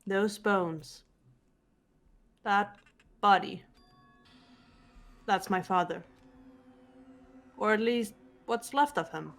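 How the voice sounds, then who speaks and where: A woman voicing a composed, guarded teenage girl detective in an amateur game dub, measured and restrained. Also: mid-range voice. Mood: calm, analytical. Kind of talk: amateur voice acting in a visual novel playthrough.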